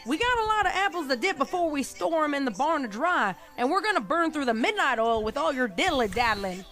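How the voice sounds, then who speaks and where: A woman puts on a young country pony mare with a high voice and a Southern drawl. She is a folksy, scolding big sister in a spooky storybook, exasperated but protective, over background music. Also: big pitch swings, fairly quick, a bit bright. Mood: exasperated calling. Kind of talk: character voice in a read-aloud horror story.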